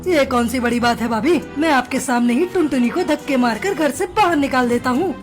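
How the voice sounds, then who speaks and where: A woman's voice: high voice, brisk, soft background music, close to an everyday voice. A woman voicing a harsh, bullying male crow in a cartoon, blustering and demanding.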